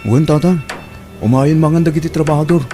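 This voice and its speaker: A man performs an earnest, devoted suitor in an Ilocano radio drama. His fairly high voice plays over clearly audible music. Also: fairly quick, mellow, close to an everyday voice.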